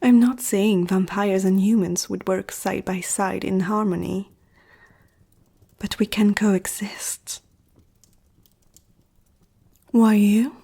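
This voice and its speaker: A woman playing an ancient, powerful vampire aristocrat in an ASMR roleplay, poised, intimate and seductive, speaking in a breathy half-whisper. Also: medium pitch, fairly even intonation, takes it slowly, pauses often, dark tone, full character voice. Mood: measured, persuasive.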